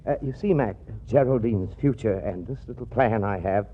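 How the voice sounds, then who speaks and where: An actor playing a genial, naive rich yacht owner in a 1940s radio comedy, polite and earnest. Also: male voice, lowish voice, normal speed, a little hiss or hum, natural voice. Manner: polite, earnest, old-fashioned upper-class phrasing. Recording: muffled old 1940s radio recording.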